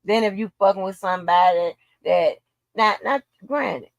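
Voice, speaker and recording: A woman's voice: medium pitch, moderate pace, no echo. A woman in an unscripted livestream rant, blunt, profane and confrontational, repeating phrases for emphasis. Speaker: livestream host.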